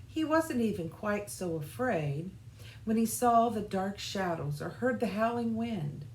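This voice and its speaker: A woman reading a children's storybook aloud in an expressive, deliberate way, as scripted narration. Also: fairly low pitch. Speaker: children's storybook reader, church music director. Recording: roomy echo, faint background noise.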